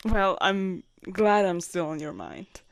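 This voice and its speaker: Woman acting a courteous, flustered lady knight, very expressive. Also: on the low side, gravelly, full character voice. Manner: formal, courteous, easily flustered. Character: loyal, flustered young adult female knight commander. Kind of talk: roleplay audio drama acting.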